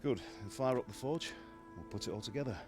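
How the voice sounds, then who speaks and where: An actor playing a stern middle-aged blacksmith father in a video game, with a gruff, lecturing manner. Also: mid-range voice, quick pace, lightly acted. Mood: proud, businesslike. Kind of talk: dramatic video game acting. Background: music.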